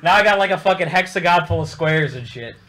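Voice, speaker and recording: A man's voice: a little high, animated, fast talker, fairly bright tone. A man hosting a casual radio talk show, rambling loosely and swearing often. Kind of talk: casual chat.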